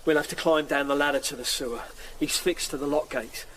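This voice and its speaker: An actor playing a tough, street-wise Tudor assistant, blunt and cocky, in a radio drama. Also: male voice, a little high, steady tone, brisk, fairly bright tone, far from the mic, a little hiss or hum, natural voice, poor audio. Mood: blunt, urgent.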